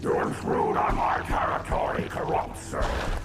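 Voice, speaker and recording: A savage primal monster from a sci-fi game, performed by a man. It bellows slowly in a harsh, wrathful growl. Music plays clearly behind it. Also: close to an everyday voice. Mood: furious. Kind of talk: sci-fi video game character acting.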